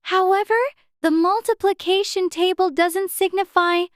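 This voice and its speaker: Very high-voiced anxious little girl. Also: steady tone, moderate pace, no echo, clean studio sound. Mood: muddled, trying. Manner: fretful, talking to herself in prim childish exclamations. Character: anxious, chatty little girl in a fantasy storybook. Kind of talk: dramatized audiobook character acting.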